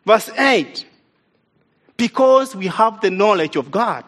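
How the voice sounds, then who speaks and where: An East African man, not a native English speaker, delivers a sermon in a notably high voice. He preaches earnestly and with emphasis, repeating his main points to drive them home. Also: sing-song, takes it slowly, a bit bright. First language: an African language, not English. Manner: earnest, emphatic, repeats key lines for stress.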